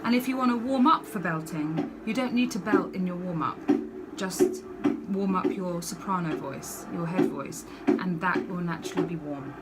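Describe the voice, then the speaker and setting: A woman's voice: fairly low pitch, normal speed, loud music behind the voice. A woman, a musical theatre actress, chatting casually as the guest in a backstage dressing room.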